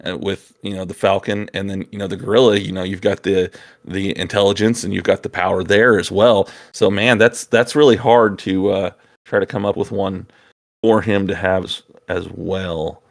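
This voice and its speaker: A man's voice: sits low, level tone, moderate pace, gravelly. A man co-hosting a casual geeky chat, agreeable and thinking aloud as he goes. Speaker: co-host of a hobby video channel.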